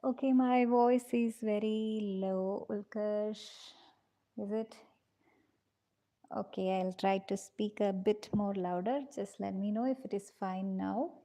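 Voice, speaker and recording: A woman teaching a live online drawing class, gentle and patient, calling her students dears and guiding them step by step. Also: steady tone, slow pace. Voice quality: low-pitched voice, in her own words.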